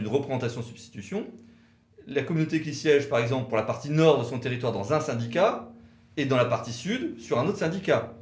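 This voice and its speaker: A man of medium pitch lectures on law as a co-presenter, precise and didactic. He builds his points in short, measured phrases, and the room echoes around his voice. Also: darkish tone. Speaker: legal commentator for a law firm, co-presenter. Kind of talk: explanatory legal lecture, semi-scripted. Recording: roomy, echoing sound.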